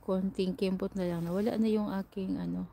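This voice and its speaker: A woman's voice: medium pitch, steady tone, a little fast, gravelly, warm tone, slight background noise. A woman from the Philippines with a Filipino accent, hosting a casual livestream and chatting with her viewers, answering their comments and laughing often. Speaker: livestream host. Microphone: camera or phone mic set a short way off.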